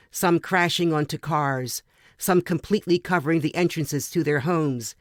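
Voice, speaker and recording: Female story podcast reader, fairly low-voiced, measured and dramatic, recorded dry without room sound. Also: dry recording. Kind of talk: expressive reading of a first-person story.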